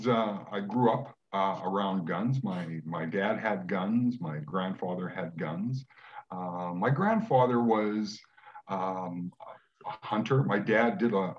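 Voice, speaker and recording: A man in his sixties from Western Canada, speaking in a measured way with many fillers on a video call from home. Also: on the low side. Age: 60s. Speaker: guest. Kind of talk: casual video call conversation. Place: home room. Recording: dull lo-fi webcam audio.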